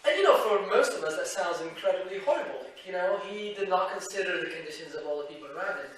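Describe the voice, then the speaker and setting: A man's voice: high voice, animated, fast talker, fairly bright tone. A man hosting a public talk, speaking in a loose conversational way with many fillers and restarts as he introduces a guest speaker. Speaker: event host introducing a speaker. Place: lecture hall. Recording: echoey hall, mic from far off.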